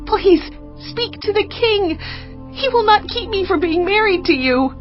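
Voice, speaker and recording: An actress playing a distressed young princess who pleads desperately, in a dramatized audiobook. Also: female voice, very high voice, animated, a little slow. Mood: desperate, pleading. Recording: slightly dull audio with music bed.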